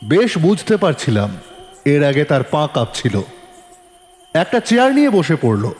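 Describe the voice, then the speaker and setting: Animated story narrator in long reverb. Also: female voice, deep voice, a little slow. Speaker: narrator, also voicing the detective. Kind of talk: dramatic scripted story narration. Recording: long reverb with music behind. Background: music.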